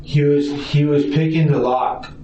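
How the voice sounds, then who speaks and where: Echoey, distant man, halting and rambling. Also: a little high, steady tone, warm tone. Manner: rambling, repetitive, halting with stammered restarts. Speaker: suspect being questioned by police. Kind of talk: police interrogation answers. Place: indoor police interview room. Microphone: distant room recording microphone. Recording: distant, echoey, muffled noisy interrogation recording.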